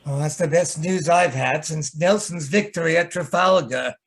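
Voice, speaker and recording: A man playing a fussy, nervous gentleman sidekick in an old-time radio mystery, stammering and theatrical, with a husky voice. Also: a little high, normal speed, close to an everyday voice. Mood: indignant, then relieved.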